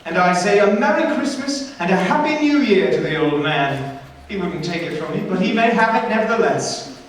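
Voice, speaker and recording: A man's voice: sits high, animated, normal speed, distant mic. A man playing a good-humoured, forgiving young nephew in an expansive, theatrical radio play style. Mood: merry. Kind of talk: stage radio play acting. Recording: very echoey hall from afar, faint noise.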